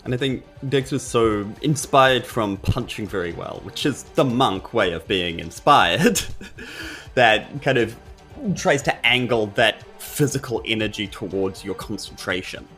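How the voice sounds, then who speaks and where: A man's voice: on the low side, measured pace, a bit bright. A man talking casually as a player in a tabletop role-playing game. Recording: music behind the voice. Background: background music.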